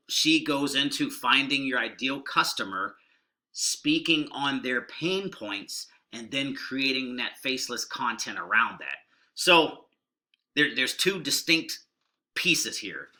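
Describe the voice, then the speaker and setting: A middle-aged man with a very bright, forward tone chats loosely through a screen-share walkthrough. He holds back his big voice to talk softer than usual, and he rambles with a folksy, offhand manner. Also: medium pitch. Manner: rambling, folksy and deliberately subdued. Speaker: online marketing video host.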